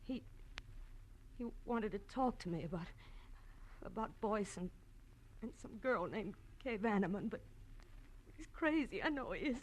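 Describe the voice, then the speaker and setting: An actress playing a prim, anxious, loyal wife, hesitant and strained, in an old radio drama style. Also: female voice, mid-range voice, animated, measured pace, long pauses, darkish tone. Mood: anxious, defensive. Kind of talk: 1940s radio drama acting. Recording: slightly noisy old radio recording.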